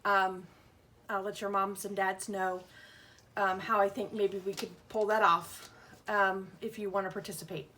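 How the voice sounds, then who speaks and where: A woman's voice: mid-range voice, little pitch movement, moderate pace, sharp tone, slight background noise. A woman teacher reading a picture book aloud, explaining things simply and putting questions to young children. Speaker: schoolteacher reading aloud to pupils.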